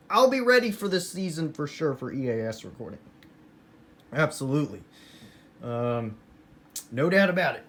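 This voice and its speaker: American man chatting casually over clear background noise. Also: medium pitch. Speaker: hobbyist vlogger. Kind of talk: casual rambling show-and-tell of a radio collection.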